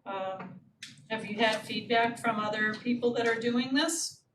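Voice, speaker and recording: A woman's voice: a little high, measured pace, fairly bright tone. A woman chairing a town commission meeting, polite and hesitant with frequent ums. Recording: echoey room, distant mic, noisy.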